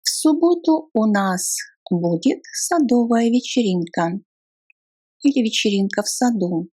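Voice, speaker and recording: A woman teaching beginner phrases in a slow, deliberate drill, saying each phrase in full and then word by word. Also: a little high, lively intonation, mellow. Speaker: language teacher.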